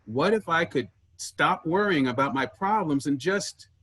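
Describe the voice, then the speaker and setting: Actor playing wistful earnest man, highish husky voice, stage play reading, searching for lost sibling. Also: lively intonation, a little slow, a bit bright, exaggerated voice. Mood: wistful, reflective.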